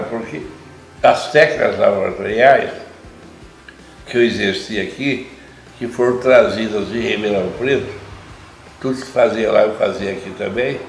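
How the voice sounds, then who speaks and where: Brazilian man in his nineties, reflective. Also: lowish voice. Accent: Brazilian Portuguese. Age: 90s. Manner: slow, reflective, with small restarts. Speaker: interviewee, retired lab doctor. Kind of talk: reminiscing conversation. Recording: echoey room with faint music.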